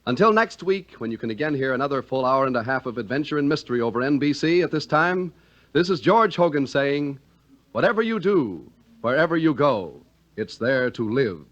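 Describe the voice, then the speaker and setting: Animated vintage radio announcer man. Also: medium pitch, brisk. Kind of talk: 1940s-50s radio announcing, scripted narration and ad. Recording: dull vintage radio recording.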